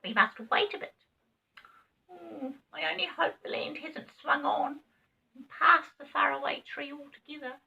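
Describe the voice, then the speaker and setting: A woman's voice: high-pitched, a little slow, creaky, sharp tone, pitched above the performer's own voice, heavily acted. A woman voicing a small, helpful, anxious rabbit from a children's storybook, urgent and exclaiming. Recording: dull, distant home recording.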